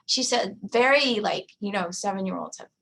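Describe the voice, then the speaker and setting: A woman's voice: medium pitch, normal speed, creaky, a bit bright, slight background noise. A woman in her forties presenting a talk in a conversational way, with plenty of fillers and asides. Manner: conversational, many fillers and "you know". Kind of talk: conference talk.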